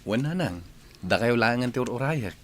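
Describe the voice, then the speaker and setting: A man's voice: medium pitch, animated, normal speed, lightly acted. A man playing a respectful, dutiful grown son in a radio drama, with an Ilocano accent. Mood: eager.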